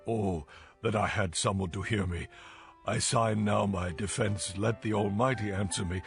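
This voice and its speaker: A low, gravelly voice of an anguished righteous man, solemn and declamatory, as in an audio Bible drama. He pleads his innocence with earnest gravity over faint music. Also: steady tone, moderate pace. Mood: defiant pleading.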